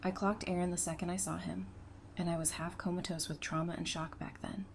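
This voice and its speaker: A woman's voice: lowish voice, level tone, brisk. A woman playing a witty, hardened apocalypse survivor in a fan audio drama, with a teasing, conversational delivery. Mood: teasing, amused. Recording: clear background noise.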